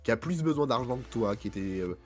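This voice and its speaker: A man with a head cold and a hoarse voice, hosting a casual podcast chat with many fillers and self-mocking asides. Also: mid-range voice, lively intonation, fast talker. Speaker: podcast host.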